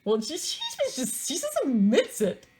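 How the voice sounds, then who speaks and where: A woman's voice: high-pitched, huge pitch swings, a little slow, mellow. A woman reading a book aloud in a theatrical, mocking way, breaking into casual, sardonic asides of her own.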